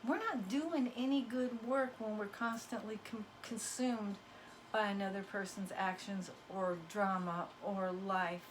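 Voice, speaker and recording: A woman's voice: medium pitch, measured pace, slight background noise, rough recording. A woman who is losing her voice, giving a casual, emphatic spiritual pep talk as a card reader, folksy and preachy in tone. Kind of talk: casual motivational talk to viewers.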